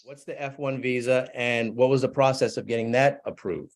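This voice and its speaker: A man's voice: mid-range voice, steady tone, moderate pace, loud music behind the voice. A man hosting a conversational interview, asking questions in an encouraging way. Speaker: interviewer, host.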